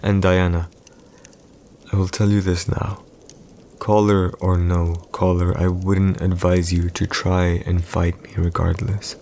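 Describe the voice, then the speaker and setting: A deep-voiced, cold emperor acted out by a man holds court in an audio roleplay. He is proud and calculating, weighing each phrase with deliberate, imperious pauses. A faint bed of ambient noise sits behind his voice. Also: little pitch movement, mellow. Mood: cold, menacing. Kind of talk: scripted boyfriend audio roleplay, slow dramatic monologue.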